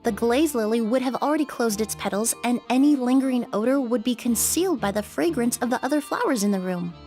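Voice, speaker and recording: A poised young perfumer who lays out a crime step by step in a fantasy game. Her voice sits slightly high and stays measured and exact. Faint game music runs beneath. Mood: explaining. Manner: calm, precise explainer. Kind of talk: game voice acting.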